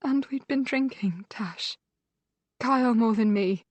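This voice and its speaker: Woman reader plays an injured, grieving young woman: raspy, sore, slightly slurred, voice cracking, pitched up from the narration, dry booth. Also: slow, a little mellow, dry recording. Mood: shaken.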